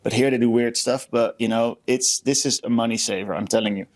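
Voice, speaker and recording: A man's voice: medium pitch, fairly even intonation, a little fast. Dutch man chatting and giving tips. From Netherlands. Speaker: podcast co-host. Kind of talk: casual chat giving tips.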